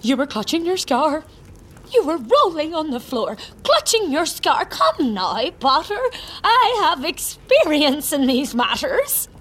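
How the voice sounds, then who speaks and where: A narrator voicing a theatrical middle-aged woman who teaches divination, mystical and insistent, in drawn-out dramatic speech. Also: sits high, wildly swooping pitch, fairly bright tone, voice pushed up, full character voice. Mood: solemn, knowing.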